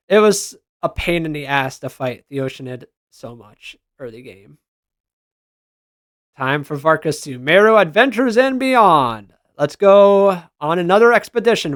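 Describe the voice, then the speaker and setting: A man's voice: fairly high pitch, fairly bright tone, no room sound, studio quality. A man giving casual, slangy reaction commentary as a gaming content creator, responding aloud to what he reads.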